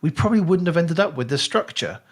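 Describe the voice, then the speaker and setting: A man's voice: on the high side, expressive, fast talker. A man co-hosting a book podcast, talking casually and with firm opinions, often repeating words for emphasis.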